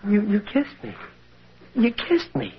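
A man's voice: sits high, huge pitch swings, measured pace, exaggerated voice. An American actor playing a bumbling, sly working-class husband and father in an old radio sitcom, stammering and exaggerating his lines. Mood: earnest, exasperated. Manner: hesitant, stammering, exaggerated delivery. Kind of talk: 1940s-50s American radio sitcom acting. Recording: noisy, muffled old radio broadcast.

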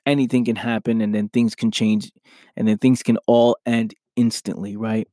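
A man hosting his own podcast, his voice a little hoarse, talking earnestly and often asking "right?" as he motivates listeners. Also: lowish voice, little pitch movement, moderate pace, warm tone, dry recording, studio quality. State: slightly hoarse from losing his voice. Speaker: podcast host. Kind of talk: motivational solo podcast talk.

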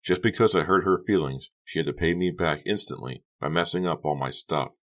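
A man's voice: rumbling low, fairly quick, a bit bright. A man voicing an exasperated, furious teenage girl in an audiobook, strained, accusing and snappish. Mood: bitter. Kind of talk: audiobook character dialogue.

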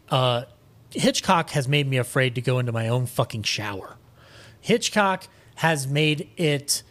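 A man with a medium-pitched voice talks casually as a podcast guest. He is animated and opinionated in his delivery, and he swears freely as he shares his views. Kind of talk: casual film discussion.

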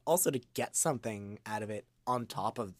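A man's voice: lowish voice, a little fast, no echo. Man in a dry-sounding, rambling casual interview. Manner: hesitant and rambling, often trailing into asides. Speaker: voice actor, interview guest. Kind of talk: casual, unscripted interview chat.

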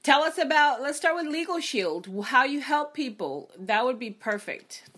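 Female podcast host, bright higher-pitched voice, measured pace, effusive and encouraging interviewer. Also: big pitch swings. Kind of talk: enthusiastic interview.

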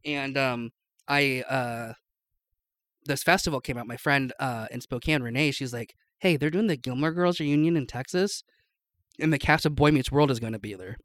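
A man's voice: medium pitch, moderate pace, a bit bright, no room sound. Rambling man telling stories, dry sound. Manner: rambling, self-interrupting storyteller with restarts. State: recovering from lost voice. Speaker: podcast guest. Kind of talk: casual chat.

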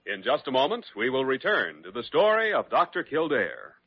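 A man's voice: medium pitch, wildly swooping pitch, normal speed, slightly rough, dry recording. An American man reading scripted announcements as a formal, polished announcer on a vintage radio drama. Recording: dull vintage radio broadcast. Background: faint music.

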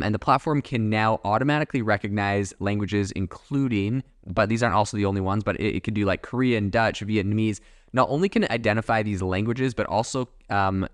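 A man's voice: fairly low pitch, dry recording, clean studio sound. A man hosting his own podcast, talking casually in a rambling way with lots of fillers and restarts. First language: English. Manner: chatty, rambling with fillers and self-corrections. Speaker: podcast host. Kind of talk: casual solo commentary.

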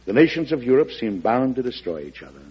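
Impassioned, husky American president. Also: male voice, mid-range voice, very animated, fast talker. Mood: grave, solemn. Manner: solemn, forceful, oratorical. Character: grave, impassioned American president pressing for war. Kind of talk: old radio drama acting. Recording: muffled old radio recording.

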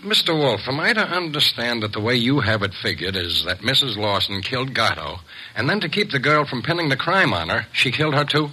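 An actor playing a blunt, uneasy client in an old radio detective drama, plain-spoken and hesitant. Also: male voice, mid-range voice, expressive, a little fast, sharp tone, husky, exaggerated voice, poor audio. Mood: uneasy, doubtful.

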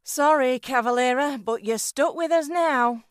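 An actress playing a blunt, dry-witted and exasperated adventurer in a comic fantasy audio drama. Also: female voice, high voice, a little slow, clear, resonant tone, no echo.

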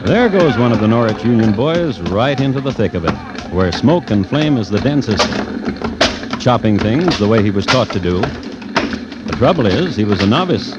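Male actor as dry, morbid gentleman narrator for vintage radio crime drama; deadpan yet swooping pitch, muffled and very noisy recording. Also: loud music behind the voice, close to an everyday voice. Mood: dry, measured. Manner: deadpan, measured, dryly ironic. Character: genteel, dryly morbid crime-story host and narrator. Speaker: host and narrator.

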